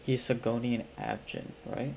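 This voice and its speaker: A man giving casual live reaction commentary on a game, informal and thinking out loud in questions. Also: medium pitch, lively intonation, measured pace, creaky, a little hiss or hum.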